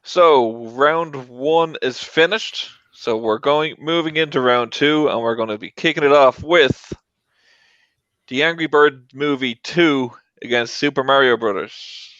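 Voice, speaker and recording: A man of average pitch hosts a casual panel show. His voice is recorded dry and close to roomless, with a slightly dull, lo-fi sound. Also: a little slow, a bit bright, dry recording. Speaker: host.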